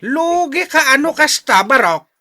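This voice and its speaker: A man's voice: very high-pitched, lively intonation, a little slow, pushed and bright, exaggerated voice. An actor with a Filipino accent playing an exasperated older father who gives blunt, scolding advice in a radio drama.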